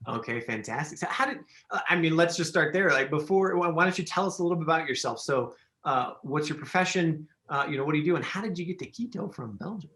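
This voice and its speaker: A male podcast host with a fairly high voice, speaking in an echoey room. He comes across as enthusiastic and loose, rambling through his questions with lots of "you know"s. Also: lively intonation, fast talker, a bit bright. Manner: enthusiastic, rambling, many fillers and restarts. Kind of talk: casual interview chat.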